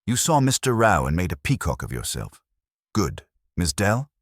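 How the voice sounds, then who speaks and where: A man's voice: deep voice, very animated, normal speed, no room sound, clean studio sound. A man voicing a big, imperious, pedantic detective in a mystery audiobook, with pompous, formal, commanding speech. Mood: pompous deduction.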